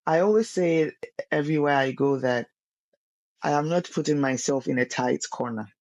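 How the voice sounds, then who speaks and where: A woman's voice: deep voice, normal speed, a little mellow, no echo. Earnest woman, dry close mic. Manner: earnest, repeats words when searching for them. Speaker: guest singer. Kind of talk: casual podcast interview.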